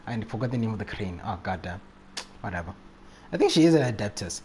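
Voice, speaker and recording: A man's voice: on the low side, big pitch swings, fast talker, a little mellow. Casual male game streamer, faint noise. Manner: jokey, slangy, often chuckling. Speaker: game livestreamer. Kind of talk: casual livestream chat and game reaction.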